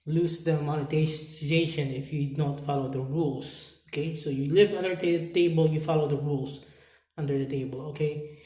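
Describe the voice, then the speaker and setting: A man from the Philippines with a Filipino accent, talking unscripted to camera as a YouTuber, halting and repeating words with many fillers. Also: fairly high pitch, darkish tone, roomy sound.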